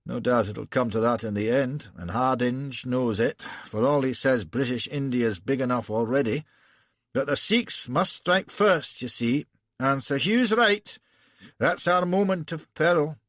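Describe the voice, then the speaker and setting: A man voicing an arrogant male Scottish frontier agent with a high burr, reading a story aloud. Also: measured pace, no room sound, lightly acted. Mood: grim.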